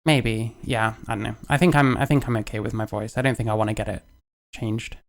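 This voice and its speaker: A man's voice: on the low side, steady tone, a little fast. A man speaking casually and candidly, rambling and self-deprecating, laughing and swearing as he reacts to a clip. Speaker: youtuber reacting to another creator's video.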